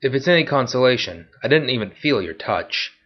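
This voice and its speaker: A man's voice: medium pitch, lively intonation, brisk, voice pushed up, lightly acted. A man voicing a sly, playful young female pickpocket who talks coyly. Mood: teasing. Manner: playful and coy. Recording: dull lo-fi recording.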